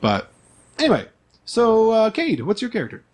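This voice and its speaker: Lively, higher-voiced man running a game. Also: moderate pace. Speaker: game master and podcast host. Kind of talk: casual, unscripted tabletop chat.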